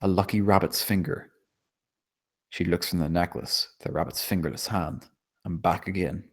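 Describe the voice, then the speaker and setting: A man's voice: low-pitched, fairly even intonation, moderate pace. A man narrating a screenplay, reading out stage directions at a table read.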